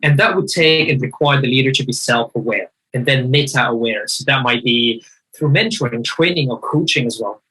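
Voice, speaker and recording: Bright-voiced, husky man. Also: mid-range voice, normal speed, poor audio. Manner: animated, emphatic, rephrases mid-sentence. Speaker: guest speaker. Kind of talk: conversational discussion.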